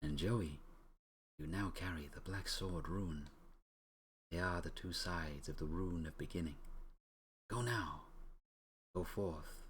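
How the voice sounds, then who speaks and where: A man's voice: low voice, a bit bright, a little hiss or hum. A man voicing a solemn, portentous mystical being that bestows ancient power in a video game. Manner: slow, portentous. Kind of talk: dramatic read-aloud of game dialogue.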